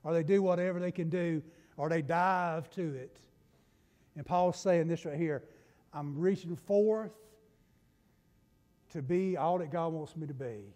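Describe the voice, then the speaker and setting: A middle-aged man with a Southern US accent, preaching a folksy sermon from a church pulpit, swinging between loud and hushed. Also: a little high, a little slow, pauses often. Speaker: pastor. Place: church sanctuary. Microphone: pulpit mic from a far camera. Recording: long church-hall reverb.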